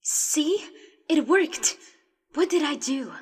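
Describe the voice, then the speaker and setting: A woman's voice: sits high, lively intonation, slow pace. A woman playing a defiant, impulsive heroine with a blunt, combative manner in a science-fiction audio drama. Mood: blunt, defensive.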